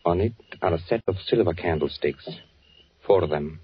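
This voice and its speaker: Low-voiced, guarded wartime undercover officer played by a man. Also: normal speed, natural voice. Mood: cautious instructing. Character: careful undercover agent posing as a German army officer. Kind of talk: 1940s radio acting. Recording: dull, noisy 1940s radio recording.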